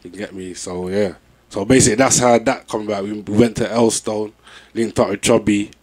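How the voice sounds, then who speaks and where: Young London man, relaxed MC guest telling stories. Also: on the low side, a little fast. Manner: laid-back, peppered with "you know what I'm saying". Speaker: MC interviewee. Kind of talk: casual interview chat.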